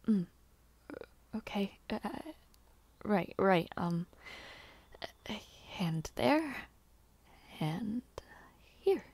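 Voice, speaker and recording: A woman playing a bashful, playful young noble lady who aspires to knighthood in an audio roleplay, with halting, giggly speech and formal wording. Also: medium pitch, breathy edge, no room sound, full character voice. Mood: flustered, shy. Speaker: roleplay voice actor. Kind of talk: intimate scripted audio roleplay.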